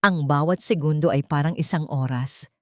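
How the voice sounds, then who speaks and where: A woman's voice: low-pitched, moderate pace, no room sound, clean studio sound. A woman storyteller giving scripted dramatic narration of a love story.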